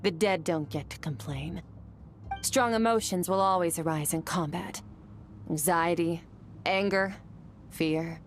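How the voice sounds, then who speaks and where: A woman voicing a composed adult swordswoman mentor in a video game, speaking in a calm, measured, matter-of-fact way. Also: fairly low pitch, soft background music, natural voice. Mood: stern.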